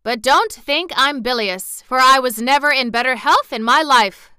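A woman voicing Johnny Cake, a cheerful, proud old gentleman made of cornbread, in a storybook reading. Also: sits high, moderate pace, clear, resonant tone, no echo, clean studio sound, pitched above the performer's own voice. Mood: boastful. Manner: boastful, jolly.

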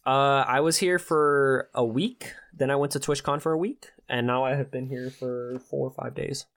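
Male, twenty, medium pitch, earnest and slangy in casual conversation. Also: fairly even intonation, normal speed, a bit bright. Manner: slangy, earnest, with frequent "like" and "bro". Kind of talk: casual chat.

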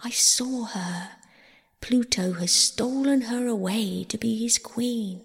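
A woman voicing a secretive, sorrowful river spirit in a breathy whisper, in a told myth. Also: on the high side, takes it slowly, voice pushed up, full character voice. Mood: hushed, secretive. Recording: long hall-like reverb.

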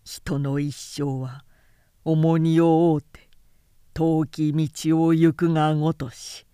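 A woman voicing a serious, polite samurai father in a measured, solemn storybook reading. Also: low-pitched, level tone, mellow, clear, resonant tone, no echo, clean studio sound, voice pushed down. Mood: grave, reflective. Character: anxious, polite samurai father, grave and brooding.

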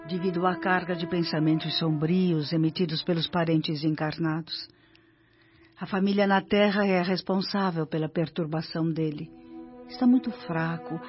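A woman's voice: on the low side, lightly acted. A woman playing a dutiful, composed nurse in a radio drama, reporting respectfully with a Brazilian accent. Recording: dull radio-drama sound, music behind.

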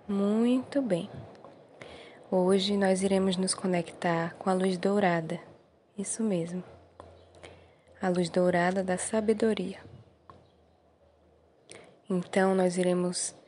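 A Brazilian woman gently guiding a meditation in a soothing, unhurried way, with short encouraging phrases. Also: fairly low pitch, slight background noise. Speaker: meditation guide. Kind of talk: guided meditation.

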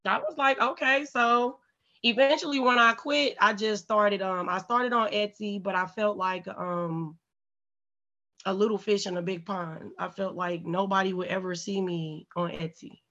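A woman from St. Louis chats on a podcast in a raspy, congested voice. She tells her stories bluntly and animatedly, with plenty of swearing. The audio sounds a little dull and muffled. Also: mid-range voice, a little slow. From St. Louis, USA. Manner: blunt, profane, animated storytelling. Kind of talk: casual, candid podcast chat.

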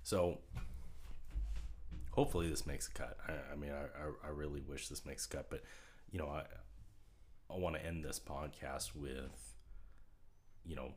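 A man's voice: extremely low pitch, fairly even intonation, normal speed, pauses often, darkish tone. A young man co-hosting a casual podcast, rambling and repeating words as he starts sentences, laughing often. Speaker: podcast co-host.

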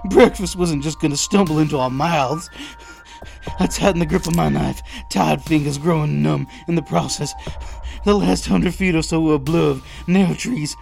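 An actor playing a desperate, protective father in a post-apocalyptic audio drama, narrating tensely and deliberately in the first person. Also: fairly high pitch, creaky, darkish tone, soft background music. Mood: urgent, fearful.

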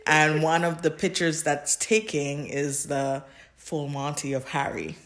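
Low-voiced woman chatting casually on a podcast. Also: a little slow, fairly bright tone. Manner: giggly, informal, rambling. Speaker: podcast co-host. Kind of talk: casual chat, recapping fan fiction.

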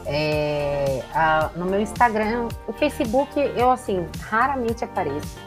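Calm woman, podcast guest. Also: medium pitch, a little slow, music in the background. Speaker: guest author. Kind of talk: casual podcast interview.

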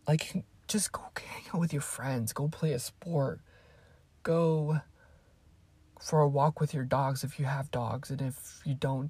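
A woman's voice: rumbling low, darkish tone, breathy edge, dry recording. An eighteen-year-old woman whose first language is Spanish, chatting casually and rambling to her viewers as a vlogger. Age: teens. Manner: rambling, chatty, drifts off topic. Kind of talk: casual chat to camera.

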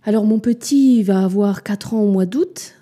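A woman's voice: mid-range voice, moderate pace, warm tone, slightly rough. A woman in her forties, a mother and business owner, answering interview questions candidly, hesitant and reflective, with frequent fillers and restarts. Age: 40s.

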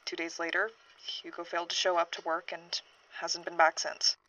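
A woman playing a troubled university scientist giving an earnest, halting witness statement in a horror audio drama. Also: on the low side, completely flat delivery, pushed and bright, low-quality recording. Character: troubled female university biologist reporting a missing colleague.

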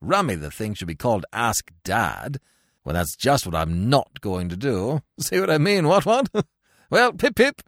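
A man's voice: lowish voice, wildly swooping pitch, a little slow, fairly bright tone, dry recording, studio quality, voice pushed down, heavily acted. A man voicing a carefree, foppish young upper-class man who giggles and chatters in breezy slang. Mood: chirpy.

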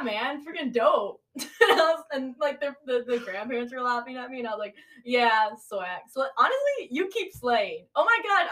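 A woman's voice: sits high, pushed and bright, distant mic. A young woman co-hosting a podcast in casual comic chat with a friend, giggly and exclamatory, full of slang. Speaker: podcast co-host.

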